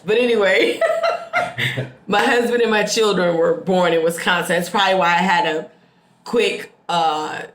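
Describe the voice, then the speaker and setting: A middle-aged American woman from the Midwest telling personal stories casually, with expressive emphasis and repeated phrases. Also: lowish voice, unhurried. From Midwestern United States. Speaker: host. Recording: roomy, echoing sound.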